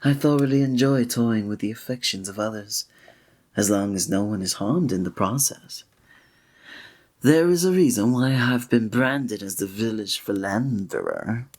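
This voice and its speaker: An actor playing a flirtatious, courtly male village innkeeper in an ASMR roleplay, speaking in a formal, breathy way. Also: fairly low pitch, lively intonation, normal speed, darkish tone.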